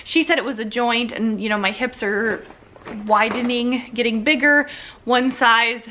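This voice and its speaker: A woman's voice: fairly high pitch, measured pace, sharp tone, a little hiss or hum. A young woman chatting casually in a vlog update, rambling with fillers, a bit congested and sniffly. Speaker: vlogger.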